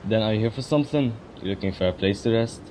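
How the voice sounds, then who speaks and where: A man voicing a guarded, businesslike twelve-year-old boy guarding a village in a fan dub, speaking informally over faint rumbling noise. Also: fast, dark tone, lightly acted. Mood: questioning, wary. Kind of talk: fan dub character dialogue.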